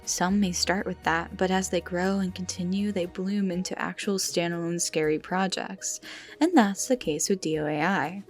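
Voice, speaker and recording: A woman giving scripted video-essay commentary with dramatic emphasis and hushed, breathy phrase endings. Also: lowish voice, moderate pace. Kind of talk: scripted commentary on a horror series. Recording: music behind the voice.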